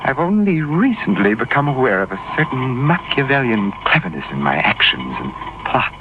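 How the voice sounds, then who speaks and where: A man's voice: medium pitch, very animated, normal speed, natural voice. A man acting a polite, condescending, cunning killer in an old-time radio drama, with formal, menacing speech. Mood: confiding, chilling. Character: courteous, cunning, deranged male killer in handcuffs. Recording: muffled, very noisy old radio recording.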